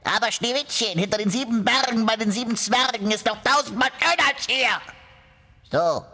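Cheeky magic mirror for fairy-tale audio drama: forceful, bright, stubborn, drenched in reverb. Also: male voice, very high voice, normal speed, exaggerated voice. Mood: cheeky, defiant. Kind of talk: fairy-tale radio play for children. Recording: long reverb, faint music behind.

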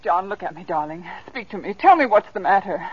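An actress playing an earnest, anxious wife in the style of 1940s radio drama. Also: female voice, lowish voice, expressive, fairly quick, breathy edge. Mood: alarmed. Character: earnest, anxious wife leaving her husband. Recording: dull, faintly noisy 1940s radio recording.

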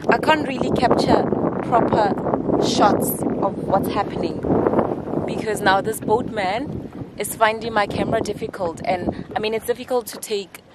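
A woman's voice: medium pitch, normal speed, heavy background noise. A young woman in her twenties chatting to viewers on a travel vlog, filmed outdoors on a lake boat with a handheld camera. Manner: chatty, animated, exclaiming to viewers. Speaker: travel vlogger. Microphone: handheld camera at arm's length.

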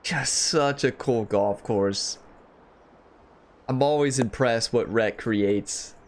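A man's voice: medium pitch, slow pace. A man in his thirties hosting a gaming channel, chatting casually and enthusiastically to viewers while playing golf in a home gaming room on a headset mic. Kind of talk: casual gameplay commentary.

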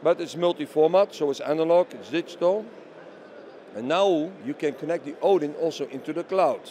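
Man, slightly high pitch, mellow tone, explanatory product demo, asks and answers himself, faint exhibition hall noise. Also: moderate pace. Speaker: company representative being interviewed. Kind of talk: product demonstration interview. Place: busy trade show hall booth. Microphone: handheld interview microphone held by interviewer.

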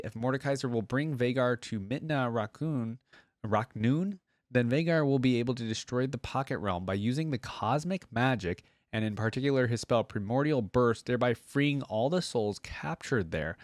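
A man's voice: mid-range voice, no echo, clean studio sound. A man hosting a reaction video, reading aloud and commenting in a casual, conversational way.

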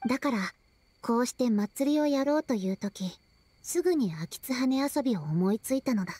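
Solemn, high-voiced youkai girl. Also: expressive, normal speed, mellow, no room sound. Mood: solemn, explaining. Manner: formal, archaic speech. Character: young youkai girl, solemn, old-fashioned storyteller. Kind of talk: Japanese anime-style game dialogue and narration.

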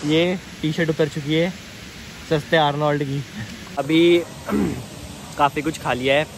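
Young man, casual travel vlogger, playful teasing, fairly high voice, phone mic outdoors, loud stream noise behind. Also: steady tone, slow, gravelly, rough recording. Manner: teasing, playful joking with friends. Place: outdoors on a hillside trail by a stream.

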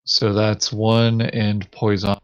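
A man's voice: fairly low pitch, flat delivery, slow pace, smooth, lo-fi sound. A man chatting casually as he plays a board game, hesitantly thinking aloud. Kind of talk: casual game play chat.

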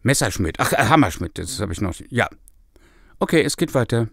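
A man's voice: lowish voice, very animated, a little fast, gravelly, fairly bright tone, dry recording, clean studio sound. A male voice actor in outtakes, reading scripted lines and adding casual asides. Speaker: voice actor in an audio drama.

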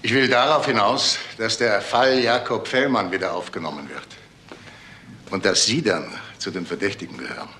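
A persistent detective in a crime radio play. His voice is fairly low with a hard, bright edge. He speaks in measured, pointed lines over faint noise. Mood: probing, confident. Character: persistent, probing police detective. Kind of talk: crime radio drama acting.

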